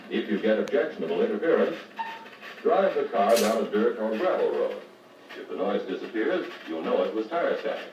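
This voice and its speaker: Echoey, noisy 1950s American instructor. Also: male voice, lowish voice. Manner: methodical, explanatory. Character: patient expert car radio service instructor, adult man. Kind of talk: 1950s training filmstrip dramatized dialogue. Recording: muffled, noisy, echoey 1950 record playback, distant mic.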